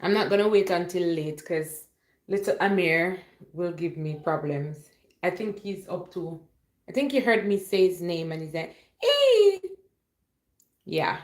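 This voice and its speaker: A woman's voice: lowish voice, normal speed. A woman chatting casually and playfully to her livestream viewers, rambling from one thing to the next. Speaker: livestream host. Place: indoor room at home. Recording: roomy, echoey home livestream.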